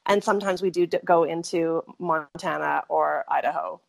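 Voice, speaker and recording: Chatty middle-aged Canadian woman, lower voice. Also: fairly even intonation, normal speed, creaky. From Canada, Saskatchewan. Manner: chatty, rambling, frequent self-corrections and laughs. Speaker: interview guest. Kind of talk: conversational storytelling.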